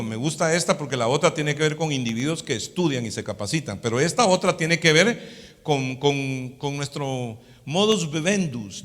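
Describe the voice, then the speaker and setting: A man's voice: fairly high pitch, fairly bright tone. A man with a Latin American Spanish accent teaching a sermon as a pastor, in a didactic manner, often checking for agreement with short tag questions. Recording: long hall reverb.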